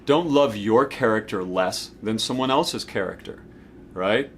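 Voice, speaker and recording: A man's voice: fairly low pitch, measured pace, a little hiss or hum. A man in his forties gives an informal spiritual teaching talk to camera, conversational and emphatic, checking in with the listener as he goes. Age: 40s. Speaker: host of a spiritual self-help video series.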